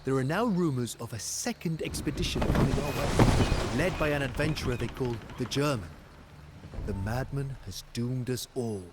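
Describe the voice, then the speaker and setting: An actor playing a passionate, grave war commander and devoted lover, narrating a game cutscene in a solemn, theatrical way. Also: male voice, medium pitch, moderate pace, very noisy, lightly acted. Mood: grave, bitter. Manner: solemn, theatrical storytelling, intense. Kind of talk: dramatic scripted first-person game cutscene narration. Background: music.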